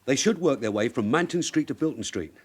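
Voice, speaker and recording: Shrewd veteran detective sergeant, lively pitch. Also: male voice, a little fast, husky. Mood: brisk, businesslike. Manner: dryly sardonic, probing questions. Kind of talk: radio drama acting.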